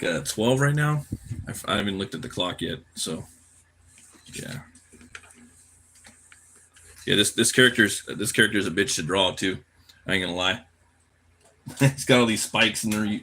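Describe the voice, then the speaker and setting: A man's voice: on the low side, normal speed, pauses often, sharp tone, a little hiss or hum. A tired man chatting casually on a livestream while he draws, laid-back and slangy, half muttering to himself. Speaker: cartoonist live streamer.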